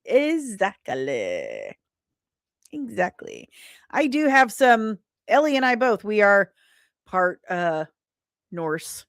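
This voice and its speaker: A woman hosting a casual livestream, talking in an animated, rambling and self-deprecating way. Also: medium pitch, slow, pauses often, no room sound, studio quality. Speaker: livestream host.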